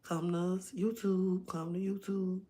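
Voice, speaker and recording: Hoarse chatty woman livestreaming. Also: lowish voice, level tone, slow, warm tone. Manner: chatty, addresses viewers directly. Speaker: livestream host. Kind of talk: casual livestream chat.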